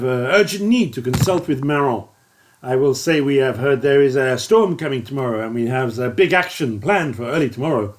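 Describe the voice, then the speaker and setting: Narrator doing a calm, firm French officer. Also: mid-range voice, natural voice. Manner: measured, firm.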